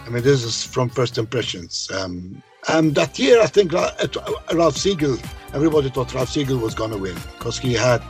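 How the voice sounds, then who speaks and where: A man from Malta with a low voice, talking about his songwriting in a casual interview, often hesitating and repeating words. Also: sing-song, moderate pace, hardly any pauses, music in the background. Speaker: songwriter guest.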